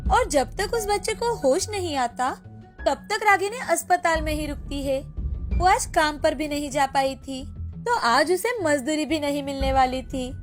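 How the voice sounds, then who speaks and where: A woman narrating a scripted moral story as storyteller. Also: high-pitched.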